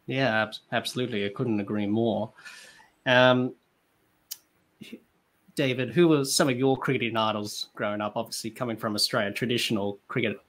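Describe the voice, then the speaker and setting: A young man with a medium-pitched voice hosts a podcast interview. He is agreeable and casual, asking questions from a room at home over a video call. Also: fairly even intonation, normal speed, a bit bright. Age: young adult.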